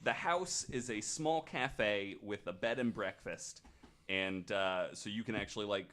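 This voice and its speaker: A man with a fairly low voice hosts a podcast, retelling eerie stories from his notes. His delivery is casual and conversational, with a joking, irreverent manner. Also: unhurried, a bit bright. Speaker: podcast host.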